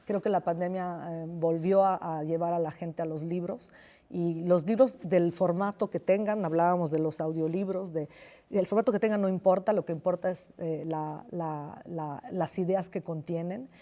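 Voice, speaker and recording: Una escritora de origen italiano con acento italiano, entrevistada en televisión; habla reflexiva, con titubeos y muchas pausas. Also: female voice, fairly low pitch, fairly even intonation, dark tone. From Italy. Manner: thoughtful, hesitant, many restarts and fillers. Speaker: novelist guest. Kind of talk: television interview.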